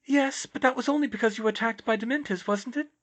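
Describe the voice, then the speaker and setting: A man's voice: extremely high pitch, fast, voice pushed up. A man voicing a sweet, eccentric teenage girl in a story, serene and dreamily serious. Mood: serenely serious. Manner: serene, very serious about odd claims.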